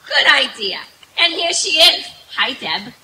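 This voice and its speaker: A woman's voice: high voice, big pitch swings, unhurried, sharp tone, full character voice. A woman playing a scatterbrained, cheerful comedienne in old-time radio comedy style. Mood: daffy, innocent. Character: scatterbrained, cheerful comedienne wife with daffy logic. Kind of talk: 1930s radio comedy acting. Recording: hissy, noisy live stage recording.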